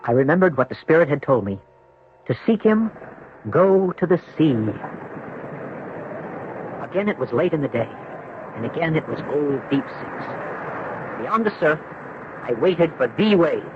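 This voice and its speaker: An American actor playing a tormented married man confessing a haunted past in a brooding, halting 1970s radio drama style. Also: on the high side, big pitch swings, background noise. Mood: anguished, dramatic. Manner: brooding, halting, rhetorical questioning. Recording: muffled vintage radio broadcast.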